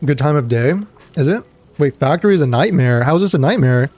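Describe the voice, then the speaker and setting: Male streamer, slightly gravelly and dry, mid-pitched, loose rambling chat to viewers. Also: animated, a little fast, a little mellow, soft background music. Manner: rambling, greets chat members, trailing fillers. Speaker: game streamer. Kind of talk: casual live-stream chat.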